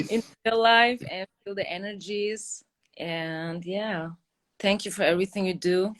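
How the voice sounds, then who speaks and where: A woman's voice: mid-range voice, steady tone, unhurried, fairly bright tone. A woman from Turkey calling in to a live stream, chatting eagerly and laughing easily. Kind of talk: casual chat.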